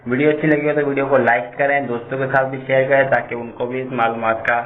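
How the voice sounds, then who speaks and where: A man's voice: medium pitch, level tone, fast talker. A man hosting a tutorial, explaining steps in a repetitive, instructional way. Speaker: tutorial host. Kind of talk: step-by-step phone tutorial narration. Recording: tinny phone-like audio, background noise.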